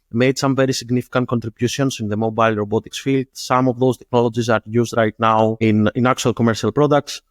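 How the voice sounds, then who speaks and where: Greek guy giving interview answers, kinda halting n explanatory, mid pitch, very dry recording. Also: no room sound. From Greece. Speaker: startup founder and interview guest.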